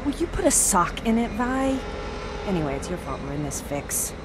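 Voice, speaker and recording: An actress playing a tough, bossy bank teller turned thief, wry and slangy in a period radio drama. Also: female voice, medium pitch, very animated, normal speed, background noise, close to an everyday voice. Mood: irritated, mocking.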